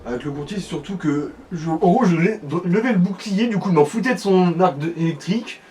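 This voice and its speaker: Slightly high-voiced man explaining a game. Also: expressive, a little fast, crowd noise. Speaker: let's play co-commentator, guide. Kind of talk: casual game commentary. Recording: distant mic, faint background noise.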